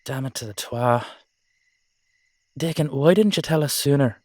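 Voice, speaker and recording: Man playing brisk, blunt Irish dullahan. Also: mid-range voice, a little mellow, no echo, studio quality, close to an everyday voice. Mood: curious, urgent. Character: blunt, boisterous Irish male dullahan swordsman. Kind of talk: dramatic audio roleplay acting.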